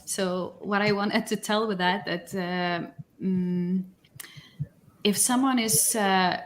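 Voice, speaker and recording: A woman's voice: medium pitch, pushed and bright. Lithuanian woman guest, thoughtful. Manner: thoughtful, searching for words, laughs lightly. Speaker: podcast guest, company executive. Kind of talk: casual interview answers.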